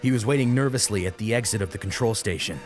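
A man's voice: fairly low pitch, fast. A man reading a dramatic action story as an audiobook narrator. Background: music under the voice.

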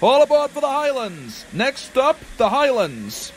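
A man's voice: very high voice, huge pitch swings, measured pace, a little hiss or hum, full character voice. A man playing an officious, chirpy police constable calling out at a railway station in a comic radio play.

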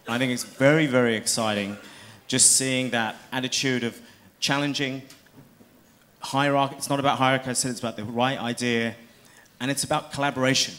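A man's voice: mid-range voice, fairly even intonation, brisk, a bit bright. A man in his thirties speaking earnestly and persuasively as a panelist on a conference stage, with a handheld mic. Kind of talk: panel discussion. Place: large conference hall on stage.

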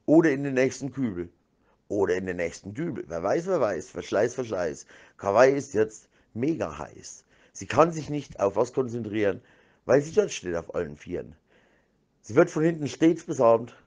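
A man's voice: medium pitch, a little mellow. Man rambling in slangy, repetitive speech.

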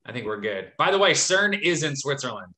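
A man's voice: mid-range voice, big pitch swings, a little fast, sharp tone. A man, hosting a casual interview over a video call. He talks in an upbeat, rambling way with lots of fillers. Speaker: YouTube host and interviewer. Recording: echoey room.

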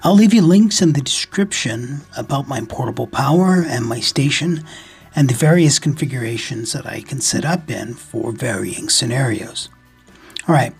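Husky-voiced man narrating a tutorial. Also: mid-range voice. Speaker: ham radio video host. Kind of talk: scripted instructional narration.

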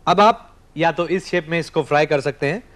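A man's voice: a little high, moderate pace, fairly bright tone. A man in his thirties hosting a studio cooking show, explaining each step while he cooks and talks to the camera. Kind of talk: scripted cooking demonstration. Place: TV studio kitchen set.

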